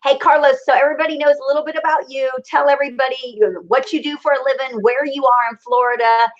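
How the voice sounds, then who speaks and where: A woman's voice: high voice, steady tone, fast talker. A woman hosting an online interview show, upbeat and encouraging, speaking over a video call. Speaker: show host. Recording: dull lo-fi sound.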